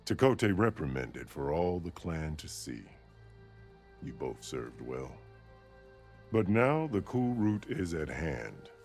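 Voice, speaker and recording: An extremely low-voiced war chief, speaks with solemn authority and ceremony. It is video game drama, with quiet music behind him. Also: male voice, vocal fry, full character voice. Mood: stern, measured. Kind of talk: video game cutscene acting.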